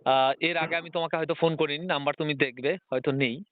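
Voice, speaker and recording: A young man speaks quickly and high, pressing his point again and again in a pleading, argumentative way. The sound is a narrow, tinny phone line. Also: fairly even intonation. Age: young adult. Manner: insistent, repetitive, pleading. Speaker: job candidate calling on the phone. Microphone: phone call.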